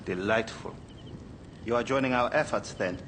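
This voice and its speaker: An actor playing a grave Egyptian dignitary in a video game, speaking in a solemn, deliberate way. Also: male voice, mid-range voice, background noise, close to an everyday voice. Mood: dry, questioning. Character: grave, ominous Egyptian dignitary lamenting his cursed land. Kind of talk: video game cutscene acting. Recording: long hall reverb.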